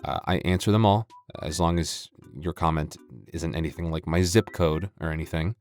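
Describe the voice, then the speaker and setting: A man with a deep voice talks casually to his listeners as an audio creator. He rambles in a dry, joking, self-deprecating way, with soft music behind him. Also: normal speed. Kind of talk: casual, joking outro chat.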